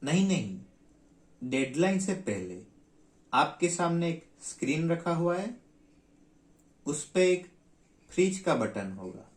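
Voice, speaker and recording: Slow, ceremonious helpline man, acted out by a man. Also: fairly high pitch, big pitch swings. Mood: patient, unhurried. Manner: drawn-out, pausing, formal and polite. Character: slow, unhurried male counselling helpline agent, comically long-winded. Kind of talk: comic stage skit acting. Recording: roomy, echoing sound.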